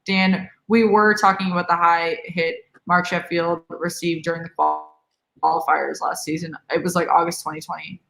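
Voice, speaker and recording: A woman's voice: lowish voice, fairly even intonation, normal speed, fairly bright tone. A woman in her twenties from Boston, co-hosting a live hockey watch-along in a casual, chatty way. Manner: chatty, laughing, promotional asides. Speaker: co-host. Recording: roomy echo.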